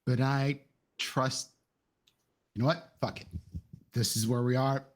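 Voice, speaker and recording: A man playing a tabletop role-playing game, improvising casually in and out of character with a husky voice. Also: mid-range voice, fairly even intonation, a little slow.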